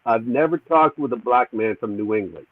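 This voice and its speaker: A man's voice: medium pitch, normal speed, dry recording. A man chatting casually on a podcast, asking easygoing questions. He comes through a thin, phone-like remote line with a dry, close sound and a mid-range voice.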